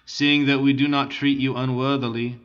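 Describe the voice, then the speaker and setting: Guarded renegade commander, courteous and measured, bright tone, muffled lo-fi audio, voiced by a male reader. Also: mid-range voice, little pitch movement, clear, resonant tone, close to an everyday voice.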